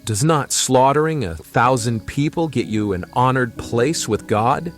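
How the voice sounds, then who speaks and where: A man as a slow-spoken, wise wanderer. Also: mid-range voice, animated, faint music behind, natural voice. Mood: calm. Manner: unhurried, philosophical, gently solemn. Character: calm, wise young male traveler from the mountains. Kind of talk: dramatized fantasy audio story.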